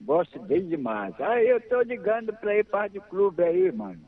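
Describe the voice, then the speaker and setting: A man's voice: high-pitched, very animated, moderate pace. A man, calling in to a radio show and chatting casually, repeating himself and laughing easily. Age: 60s. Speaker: radio call-in caller. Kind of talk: casual phone chat. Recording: tinny phone line.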